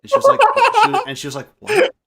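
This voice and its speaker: A man chatting casually on a group podcast and telling anecdotes in an animated way, prone to laughing. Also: extremely high pitch, fast talker, background noise. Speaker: podcast co-host.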